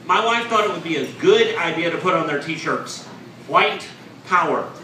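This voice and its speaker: A man in his forties performing a stand-up comedy set on a club stage, with deadpan, self-mocking punchlines. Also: on the high side, moderate pace, far from the mic, noisy background. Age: 40s. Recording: echoey club, audience laughter.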